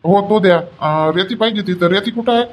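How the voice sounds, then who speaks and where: A man playing a brisk, bossy village husband in an animated family comedy. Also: high voice, slight background noise, natural voice. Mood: businesslike.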